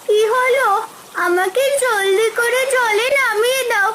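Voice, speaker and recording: Little magic fish, extremely high voice, plaintive, trusting, children's cartoon, faint background noise. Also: female voice, steady tone, unhurried, pushed and bright, full character voice. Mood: tearful pleading. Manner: pleading, plaintive.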